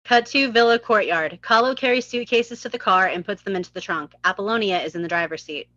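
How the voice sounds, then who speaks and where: A woman's voice: mid-range voice, a little fast, pushed and bright, dry recording. A woman reading stage directions as scripted narration at a live table read. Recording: dull, slightly muffled close audio.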